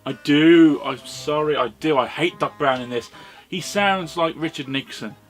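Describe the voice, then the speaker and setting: A man's voice: a little high, a little slow, soft background music. A British man giving an informal, opinionated video review with sardonic, sweary commentary. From Britain. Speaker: host and reviewer.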